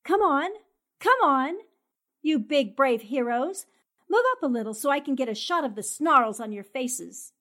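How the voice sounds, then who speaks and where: An actress playing a fearless, sharp-tongued 1940s newspaperwoman in a radio drama, brisk and commanding. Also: high-pitched, expressive. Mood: taunting, defiant.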